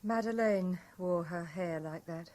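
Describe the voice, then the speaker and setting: A woman's voice: on the low side, a little slow, darkish tone, natural voice. An actress playing a weary, coolly sceptical young Frenchwoman, polite and reserved, in 1970s radio drama style. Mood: firm.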